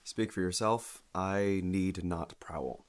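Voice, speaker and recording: An actor playing a polite, reserved stranger at a bar in an audio drama, speaking in a hesitant, slightly formal way. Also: male voice, low-pitched, takes it slowly. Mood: guarded, polite.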